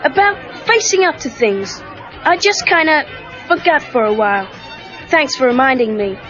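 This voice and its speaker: A woman's voice: sits high, lively intonation, moderate pace, a bit bright, some chatter behind. A woman playing a guarded, earnest teenage boy with an American accent and casual delivery in an audio story. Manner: casual, defensive. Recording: dull cassette tape with music.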